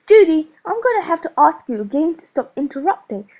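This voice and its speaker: A woman's voice: extremely high pitch, very animated, fairly quick, darkish tone, pitched above the performer's own voice. Woman voicing a firm, fraying schoolteacher. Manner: firm, clipped instructions. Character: strained, patient-but-fraying female schoolteacher. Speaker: teacher.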